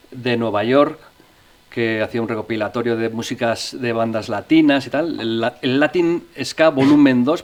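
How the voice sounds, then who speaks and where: A middle-aged man from Navarre, a musician and interview guest, recounting his story in a relaxed way with frequent restarts and fillers. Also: medium pitch. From Navarre, Spain. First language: Spanish.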